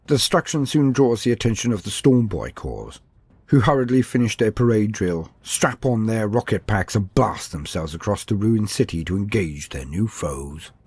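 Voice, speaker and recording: A man's voice: fairly low pitch, animated, normal speed, a little mellow, breathy edge, dry recording. A man reading a scripted, dramatic narration of fantasy war lore as a storyteller.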